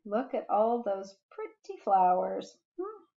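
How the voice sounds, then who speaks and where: Slow, animated woman reading a storybook. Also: mid-range voice, gravelly, phone-quality sound. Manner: deliberate, animated storytelling with musing asides to young listeners. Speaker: principal reading aloud to children. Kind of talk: expressive children's storybook read-aloud.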